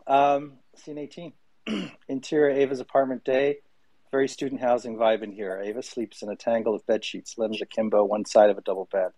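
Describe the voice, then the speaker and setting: A man in a remote audio chat, joking with halting fillers, then reading stage directions from a script aloud. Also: medium pitch, steady tone, warm tone, no echo, low-quality recording. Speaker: showrunner and host reading a script.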